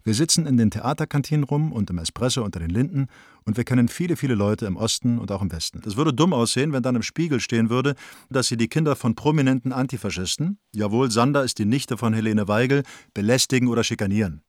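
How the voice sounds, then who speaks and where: Actor as sardonic young man, rapid delivery, dry close sound, wry, insistent, radio play letters. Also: mid-range voice, lively intonation, no room sound, close to an everyday voice. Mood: insistent, ironic. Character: Alex, ironic, fed-up young theatre man writing letters.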